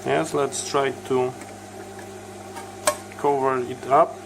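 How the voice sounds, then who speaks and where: Male repair-video host, halting and unhurried step-by-step delivery, mid pitch, steady background noise. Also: creaky. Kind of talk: hands-on tutorial commentary.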